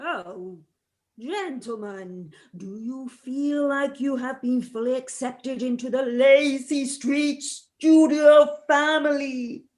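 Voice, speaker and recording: Woman playing a slow, grand theatrical spirit medium. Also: sits high, a little mellow. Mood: mystical, grand. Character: theatrical female medium conducting a seance. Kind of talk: comedy audio drama.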